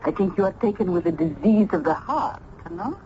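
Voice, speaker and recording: A woman's voice: on the low side, animated, fairly quick, dark tone, close to an everyday voice. An actress playing a motherly, sly Italian landlady with an Italian accent, teasing and bossy, in an old-time radio drama. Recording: muffled, noisy vintage radio recording.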